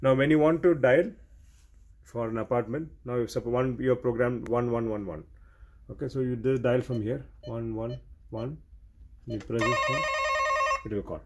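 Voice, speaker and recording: Male technical trainer, mid-pitched husky voice, practical step-by-step instruction with repetition. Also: normal speed, pauses often. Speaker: intercom technician giving training. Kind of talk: hands-on instructional explanation.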